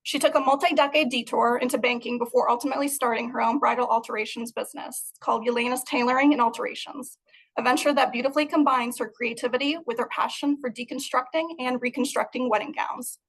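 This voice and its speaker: A woman's voice: on the high side, fairly even intonation, fast, fairly bright tone, low-quality recording. A young adult woman from Moldova, giving an earnest, prepared talk about her art over a video call. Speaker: guest artist.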